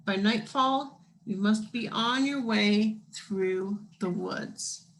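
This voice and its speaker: Woman voicing slow, solemn Aunt Harriet, storybook guide. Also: mid-range voice, a little hiss or hum, poor audio. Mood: solemn warning. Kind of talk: children's storybook reading.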